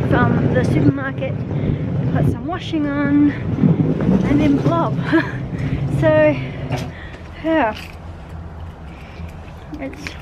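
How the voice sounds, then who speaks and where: A woman's voice: high-pitched, dull audio. A young woman vlogging to a handheld camera, chatting casually and rambling with many ums and small laughs, first out in a windy town and then at home. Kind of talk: casual vlog chat. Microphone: handheld camera at arm's length.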